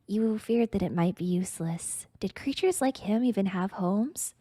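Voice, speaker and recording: A woman's voice: medium pitch, lively intonation, moderate pace, dark tone, dry recording, studio quality. A woman streamer reading a story aloud in a theatrical, mock-grandiose, dramatic narrating style.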